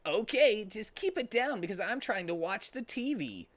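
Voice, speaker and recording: A man puts on a high-pitched suburban mom for a comic story. She is fussy and a little nagging, with an offhand, distracted manner. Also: big pitch swings, normal speed, fairly bright tone.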